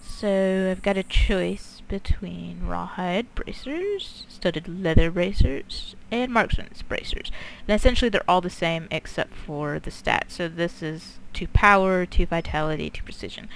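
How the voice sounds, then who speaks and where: Creaky-voiced woman commentating on a game. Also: on the low side, measured pace, hardly any pauses, rough recording. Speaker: gameplay commentator. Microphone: too close to the mouth. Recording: mouth too close to mic, some noise.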